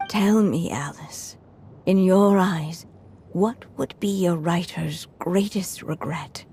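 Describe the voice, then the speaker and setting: An actress voicing Anya, a frail, aging writer in a game cutscene, speaking softly and slowly with a breathy edge. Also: female voice, lowish voice, slight background noise. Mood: wistful. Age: older. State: weak, coughing. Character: old woman writer Anya, frail, wistful, resolved. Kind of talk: story cutscene voice acting. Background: soft music.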